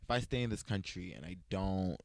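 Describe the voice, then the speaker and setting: A young man from the States telling personal stories in a casual sit-down chat, animated and playful, quoting himself in a higher voice. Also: a little fast, vocal fry, no room sound, clean studio sound. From United States. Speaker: vlogger.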